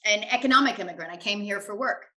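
A woman's voice: mid-range voice, fast talker, pushed and bright. American woman in a roomy, echoing space. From United States. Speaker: interview guest, finance expert. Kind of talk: conversational interview answers. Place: indoors in a home room. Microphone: video call, computer at desk distance. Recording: roomy, echoing video call.

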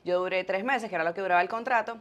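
A woman's voice: medium pitch, racing, lo-fi sound. A woman in her twenties with a Venezuelan accent, telling stories casually as an interview guest on a lapel mic. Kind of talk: casual, chatty storytelling. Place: small indoor studio set.

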